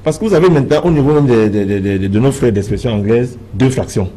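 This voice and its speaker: Cameroonian french guy debating politics on tv, dark husky voice, really insistent, repeats himself. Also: medium pitch, fast talker, poor audio. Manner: insistent, emphatic, repeats phrases for effect. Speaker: political party member as debate panelist. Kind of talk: impassioned televised political debate.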